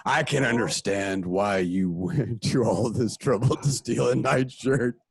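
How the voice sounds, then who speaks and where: A man's voice: mid-range voice, unhurried, gravelly, slightly rough, dry recording, studio quality. An actor playing an earnest, righteous male crime-fighter who speaks in a stiff, formal manner.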